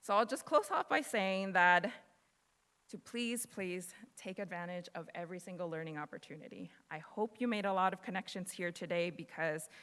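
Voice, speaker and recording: A woman's voice: lowish voice, moderate pace, a bit bright. A young woman in her twenties, Spanish her first language, giving an earnest, casual motivational speech to students. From United States. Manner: earnest, casual with fillers and laughs. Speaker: guest speaker. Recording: long hall reverb.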